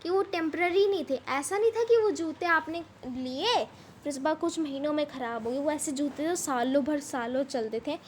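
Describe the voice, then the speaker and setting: High-voiced woman explaining a story in Hindi. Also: a little hiss or hum. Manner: reads English text slowly, then explains it in Hindi at length; repeats and rephrases explanations. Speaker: teacher. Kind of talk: English lesson explained in Hindi.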